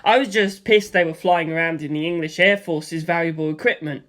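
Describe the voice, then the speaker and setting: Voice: mid-range voice, a little fast, fairly bright tone. A narrator telling a first-person action story, voicing gunfire and explosions aloud. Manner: vivid storytelling with spoken sound effects for gunfire and explosions.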